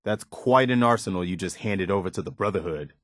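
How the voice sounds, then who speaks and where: An actor playing an earnest, dutiful militia soldier in a post-apocalyptic video game, speaking in a plain, folksy way. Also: male voice, low voice, brisk, a bit bright, no room sound, studio quality. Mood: earnest, wary. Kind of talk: video game companion voice lines.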